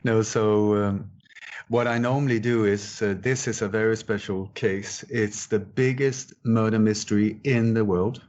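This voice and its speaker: A man in his sixties from Sweden speaks in a fairly low voice, answering questions with emphatic repetition and many false starts. The audio sounds dull and muffled. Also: fairly even intonation, measured pace, a little mellow. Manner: emphatic, repeats words, frequent restarts. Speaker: guest researcher. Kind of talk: conversational interview answers.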